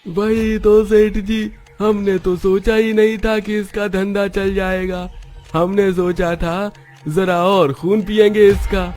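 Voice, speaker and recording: A man's voice: high voice, flat delivery, slow pace, a little mellow, faint music behind, pitched above the performer's own voice. A man voicing a fawning, malicious clerk in a cartoon story.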